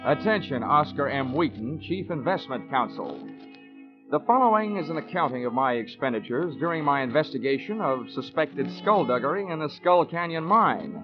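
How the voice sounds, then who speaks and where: A man plays a wisecracking radio detective with a slightly high voice. He is wry, breezy and wordy in old-time drama style, on a muffled recording with music behind. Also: expressive, moderate pace, close to an everyday voice. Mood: dry, wry. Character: wisecracking, sardonic male insurance investigator. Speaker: lead actor and narrator. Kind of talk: 1940s radio detective acting.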